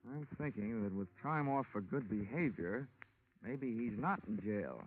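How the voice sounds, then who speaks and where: An actor playing a wry, cool-headed insurance investigator in a hard-boiled, wisecracking 1940s radio detective style. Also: male voice, lowish voice, animated, a little slow. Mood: cool, businesslike. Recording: tinny, band-limited 1940s radio recording.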